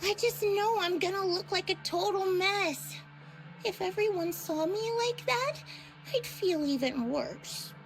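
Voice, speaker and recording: A young girl pilot from a video game. Her voice is very high and girlish, and she speaks slowly with open, earnest emotion. Music plays clearly behind her. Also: low-quality recording. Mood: earnest, emotional.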